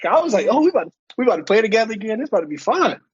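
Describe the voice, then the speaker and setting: A man's voice: sits high, big pitch swings, rapid-fire, dull audio. Slangy young male podcast co-host. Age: young adult. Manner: loose slangy banter, laughs often. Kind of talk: casual chat.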